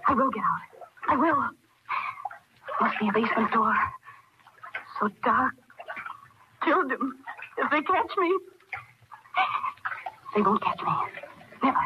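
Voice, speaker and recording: Frantic confused woman killer, high voice, breathless fragments, old radio horror, very noisy recording. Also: animated, slow, long pauses, muffled recording. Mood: panicked, dazed. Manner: breathless fragmented muttering, rising to cries. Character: panicked, confused woman murderer fleeing in darkness. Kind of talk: 1940s radio horror acting.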